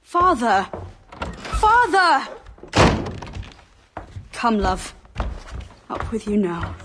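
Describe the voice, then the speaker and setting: Female, game cutscene: anxious young wife, gentle, unhurried, fairly high voice. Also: big pitch swings, heavily acted. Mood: anxious, tender. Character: gentle, worried young wife, loyal but doubtful. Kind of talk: video game cutscene acting. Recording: loud background noise, thuds.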